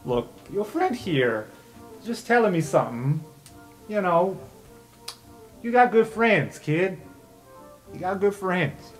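A man's voice: sits high, expressive, slow, long pauses, pitched above the performer's own voice. A man voicing a streetwise, chatty crook in a tabletop game, with a blunt, informal, rambling way of talking. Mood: chatty, sincere. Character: streetwise, chatty male crook, loyal to his friends. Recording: music bed under the voice.

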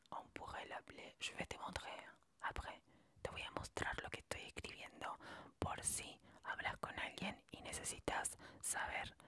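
A woman whispering softly through a lesson, slow and patient, spelling words out letter by letter. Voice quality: soft whispering. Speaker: French teacher. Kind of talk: whispered language lesson, ASMR.

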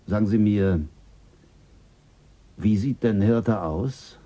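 A dark, husky man questions pressingly and firmly in a lowish voice. He sounds insistent, a radio play interrogator. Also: slow pace. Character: probing male interrogator, Lloyd.

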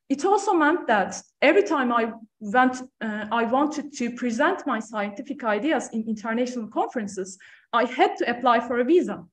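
Woman on a panel, kinda high voice, earnest and measured, echoey room. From Turkey. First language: Turkish. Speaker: panel speaker. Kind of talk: prepared talk at a panel event. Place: lecture room on stage, seated panel.

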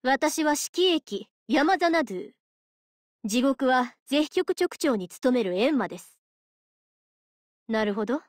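A stern yet caring lady judge of the afterlife in a game. Her voice is fairly high and she speaks slowly and formally, like someone delivering a lecture. The recording is close and dry. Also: expressive, no room sound. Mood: calm, reassuring. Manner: formal, polite, measured, preachy. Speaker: voice actress. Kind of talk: Japanese mobile game character voice lines.

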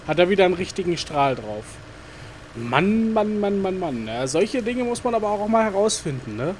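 A man talks his way through a game in a loose, rambling let's-play style. His fairly high voice is full of fillers and asides muttered to himself. A faint noise sits under the recording. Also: very animated, normal speed. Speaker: gaming YouTuber. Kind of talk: casual let's-play commentary.

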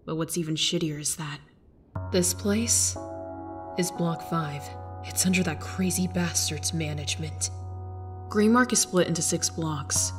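A woman's voice: on the low side, natural voice. A woman voicing a tough, cynical, foul-mouthed young street fighter in a comic fan dub. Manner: blunt, cynical.

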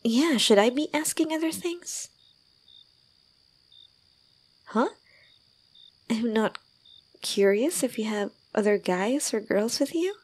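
ASMR roleplay: gentle young girlfriend caretaker, played by a woman. Slow, breathy, soft, soothing, a little teasing. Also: a little high, animated. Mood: puzzled, soft. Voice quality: soft, breathy, often slipping into whispers. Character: gentle, doting young woman caring for a drunk lover. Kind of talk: ASMR roleplay, intimate one-sided dialogue.

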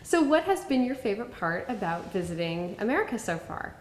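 A woman's voice: medium pitch, sing-song, a little fast, faint music behind. A woman interviewing visiting students off camera, asking friendly, encouraging follow-up questions. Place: school library, off camera. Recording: roomy library echo.

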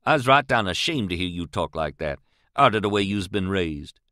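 A man doing a high, drawling older riverman. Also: wildly swooping pitch, unhurried, a bit bright, dry recording, clean studio sound, exaggerated voice. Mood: earnest, pleading. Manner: pleading, drawling, questioning. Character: older male Southern riverman, earnest and pious.

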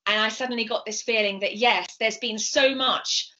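A middle-aged British woman explaining things in an interview over a video call, speaking in an animated, emphatic, passionate way. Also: on the high side, normal speed. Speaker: composer and singer being interviewed. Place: indoors, home room. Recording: slightly dull video call audio.

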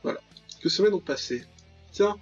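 High-voiced young man, jokey game commentary. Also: animated, normal speed. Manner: jokey, mock-dramatic, mutters asides and reads text aloud. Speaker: gaming video host. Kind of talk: casual playthrough commentary of a visual novel. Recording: dull lo-fi voice track over clear music.

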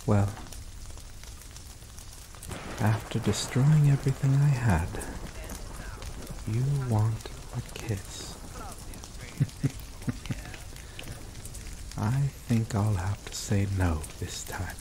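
A young man voicing an obsessive, possessive male lover in an ASMR roleplay, speaking slowly and softly with dark chuckles. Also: fairly low pitch. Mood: obsessive, tender. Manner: slow, soft-spoken, dwelling on words with dark chuckles. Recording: steady rain noise behind voice. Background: rain falling.

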